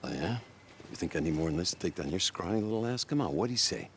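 An actor playing a brash, teasing, loyal biker in a video game cutscene, coarse and familiar. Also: male voice, low voice, animated, fast talker, vocal fry, slight background noise. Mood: goading, confrontational.

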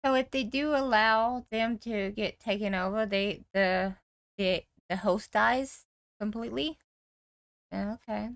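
A woman's voice: mid-range voice, a little slow, creaky, no echo. A woman hosting a reaction video, giving casual, offhand commentary in an easily amused way.

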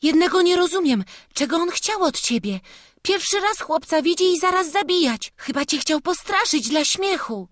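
A woman's voice: extremely high pitch, expressive, moderate pace, fairly bright tone, no echo, clean studio sound, pitched above the performer's own voice. A woman voicing a frightened, reluctant teenage servant boy in an audiobook, with a whiny, anxious rustic way of talking. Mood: puzzled. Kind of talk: audiobook storytelling.

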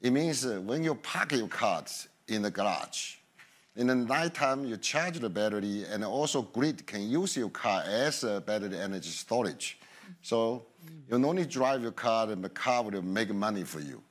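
Low-voiced middle-aged executive. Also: male voice, lively intonation, moderate pace. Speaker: business executive. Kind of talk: interview.

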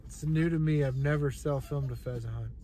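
A man's voice: medium pitch, steady tone, fairly quick. A man in his thirties talking casually in a self-filmed hunting vlog, recorded on a head-mounted camera in a truck and out in the field. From South Dakota. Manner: plain-spoken, easygoing, rural talk. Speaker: hunting vlogger. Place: inside a pickup truck, then outdoors on windy snowy prairie. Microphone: head-mounted action camera. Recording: loud wind buffeting the mic. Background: strong wind noise.

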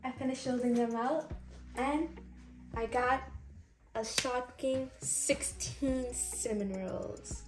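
A young woman demonstrating a bake, explaining each step plainly in a relaxed way. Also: high-pitched, takes it slowly, over music. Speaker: guest cook. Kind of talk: casual kitchen chat. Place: home kitchen. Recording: distant voice in echoey kitchen.

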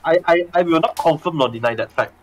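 A man's voice: fairly high pitch, quick pace, crowd noise, rough recording. A man playing in an online tabletop game, joking and exclaiming. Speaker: player.

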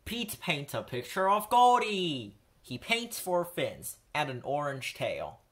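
A woman reading a children's picture book aloud with expressive, deliberate delivery. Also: low-pitched. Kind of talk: storybook read-aloud.

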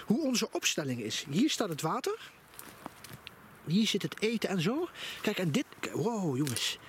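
A man giving enthusiastic, casual vlog commentary outdoors, recorded on a handheld camera. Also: high voice. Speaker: vlogger. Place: outdoors in a forest at night by a campfire. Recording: noisy outdoor camera audio.

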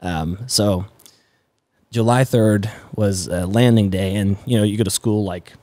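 A man in his twenties from the Netherlands, a native Dutch speaker, chatting casually and haltingly as a podcast guest. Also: on the low side, warm tone, no echo. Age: 20s. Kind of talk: casual conversational storytelling. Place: indoors, garage-like studio. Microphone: close podcast mic on boom arm.

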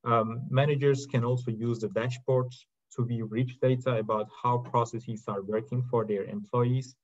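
Man, mid-pitched voice, prepared tech presentation, halting phrasing with filler sounds, muffled lo-fi video-call recording. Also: steady tone. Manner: halting, frequent uhs and short phrases. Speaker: presenter from a technology company's research and product team. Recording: dull, lo-fi video-call audio.

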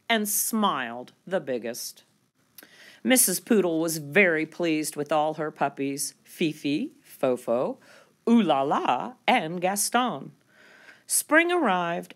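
A woman with a fairly low voice reads a children's picture book aloud. Her delivery is very slow and deliberate, with animated rises and falls. She draws out key words expressively for young listeners.

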